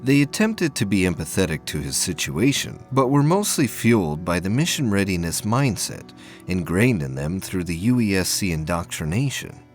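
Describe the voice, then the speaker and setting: A man's voice: sits low, very animated, normal speed, soft background music. A man reading scripted narration as the narrator of an audio drama.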